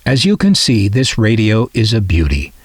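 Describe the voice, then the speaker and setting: A man's voice: lowish voice, wildly swooping pitch, moderate pace, breathy edge, dry recording. An American man from the Midwest narrating a scripted piece as a collector and presenter, with a wry, opinionated way of talking. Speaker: vintage radio collector and presenter.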